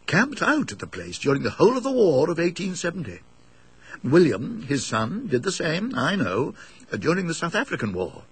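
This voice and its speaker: A man's voice: medium pitch, vocal fry, fairly bright tone, breathy edge, exaggerated voice. A man voicing a kindly, talkative elderly English rector in a ghost story, musing and hesitant, with donnish emphasis. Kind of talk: dramatised ghost story reading.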